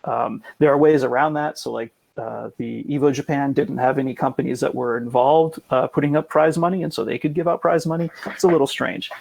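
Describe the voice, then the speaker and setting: Guy on a podcast, super gravelly n rough voice, casual explainer, kinda rambly and hedging. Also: medium pitch, steady tone, a little fast, hardly any pauses, a little mellow, no room sound, rough recording. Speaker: podcast guest explaining esports.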